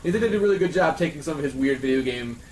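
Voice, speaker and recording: A man's voice: medium pitch, expressive, racing. A man in casual round-table chat, explaining in detail like an earnest fan. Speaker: panelist on a friends' discussion show. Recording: echoey room with background noise.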